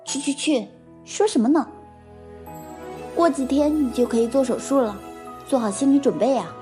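Woman acting a high-voiced, self-sacrificing young sister. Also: normal speed, dark tone, faint music behind, natural voice. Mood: teasing. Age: young adult. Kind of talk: Chinese audio drama acting.

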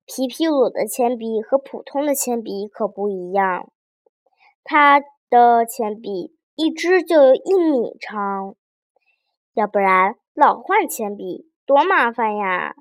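A woman's voice: fairly high pitch, takes it slowly, no room sound, clean studio sound. A woman narrating a children's fairy tale expressively, engaging young listeners as she goes. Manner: animated, addresses young listeners with questions. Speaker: children's storyteller.

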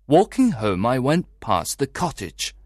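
A male actor plays an anxious husband recounting a mystery in a dramatised story. His delivery swings expressively in pitch and comes in clear, deliberate phrases. The sound is dry, with no room around it. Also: huge pitch swings, dry recording. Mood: uneasy, recounting. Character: worried, suspicious husband recounting his troubling story to a detective. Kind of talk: dramatised audiobook narration.

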